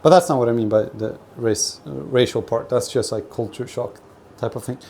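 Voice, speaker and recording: A man from Sweden chatting casually on a livestream from his kitchen, telling personal stories in a rambling, halting, sweary way. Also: mid-range voice, darkish tone. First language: not Swedish; grew up with several languages. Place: home kitchen while cooking. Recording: clear kitchen noise, frying food. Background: frying food, kitchen ambience.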